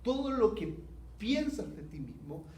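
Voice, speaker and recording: Chilean man, high-voiced pastor, slow earnest sermon, roomy echo. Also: very animated, a little mellow, slight background noise. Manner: earnest, unhurried preaching with rhetorical questions. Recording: echoey hall.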